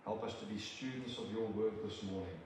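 Older South African male preacher; earnest, halting delivery; distant mic, big church reverb. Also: lowish voice, a little mellow. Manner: earnest, halting, with frequent restarts. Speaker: visiting preacher. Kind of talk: sermon with prayer. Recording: very echoey church from a distant mic with room noise.

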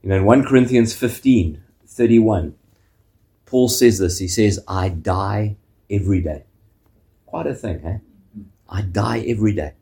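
A man with a South African accent preaching a sermon as pastor, measured, often ending points with a questioning "eh?". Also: on the low side, vocal fry, dark tone.

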